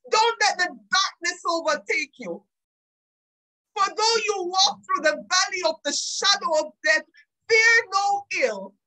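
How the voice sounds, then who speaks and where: A woman's voice: very high-pitched, bright, forceful tone, low-quality recording. A woman preacher delivering an impassioned live sermon, pleading and emotional, tearful, repeating phrases for emphasis.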